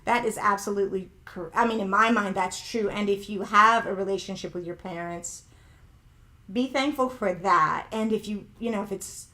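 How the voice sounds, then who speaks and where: A woman's voice: mid-range voice, moderate pace, pushed and bright. A middle-aged woman hosting a podcast who sounds younger than her age, talking in a reflective, conversational way and often hedging what she says. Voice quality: youthful-sounding voice. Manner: reflective, conversational, hedging with "allegedly" and "if you will". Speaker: podcast host. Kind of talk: casual commentary podcast, reading viewer comments.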